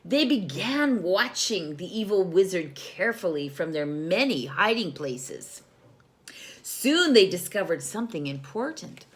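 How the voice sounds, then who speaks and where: A woman reading a children's story aloud in a teacherly manner, pausing to put questions to young listeners and explain things. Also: fairly low pitch, sing-song.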